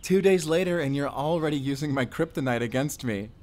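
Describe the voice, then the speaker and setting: A man playing a friendly, bashful forest ranger and doting father in an intimate audio roleplay, chatty and gently teasing. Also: fairly high pitch, fairly quick, natural voice. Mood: teasing, delighted.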